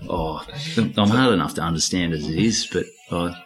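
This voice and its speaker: A man's voice: deep voice, normal speed, echoey room. A middle-aged Australian man, a stand-up comedian, chatting casually in an interview and rambling with a self-mocking manner. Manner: rambling, loses track, acts out voices.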